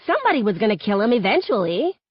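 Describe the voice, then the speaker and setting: A woman's voice: medium pitch, very animated, quick pace, slightly rough, no echo, highs cut off, voice pushed up. A woman voicing a defiant, callous teenage mean girl in an audiobook, with an indignant, dismissive delivery. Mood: callous, scornful.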